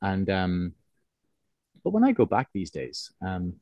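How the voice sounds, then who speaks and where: Low-voiced man with a soft Irish accent. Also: wildly swooping pitch, slow, no room sound. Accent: soft, neutralised Cork Irish, closer to English. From Cork, Ireland. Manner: hesitant, thoughtful, circling back to rephrase. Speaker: interviewed writer. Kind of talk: reflective conversational interview.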